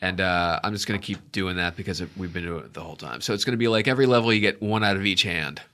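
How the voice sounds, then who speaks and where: Congested male game master, bright low voice, halting and full of fillers. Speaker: dungeon master. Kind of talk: narrating a tabletop game.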